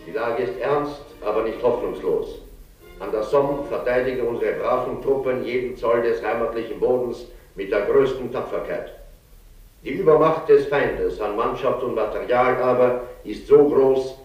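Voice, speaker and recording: A man's voice: mid-range voice, moderate pace, a little mellow, lightly acted. An actor playing a grave male prime minister giving a solemn wartime address over a dull, echoing old radio. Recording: dull, distant, echoing radio broadcast with music.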